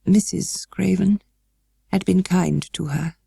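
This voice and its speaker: A melancholy, worried gentleman guardian, acted by a woman storybook reader. He speaks slowly and haltingly in a gravelly, dark, weary voice, stiff but kind, recorded close and dry. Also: on the low side, fairly even intonation, no echo, pitched above the performer's own voice, heavily acted. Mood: weary, kindly. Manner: slow, halting, worried, well-spoken.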